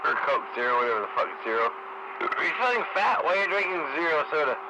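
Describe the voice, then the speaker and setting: A man's voice: on the high side, sing-song, quick pace. A man trading casual radio banter, teasing and swearing as he wisecracks. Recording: very noisy CB radio chatter.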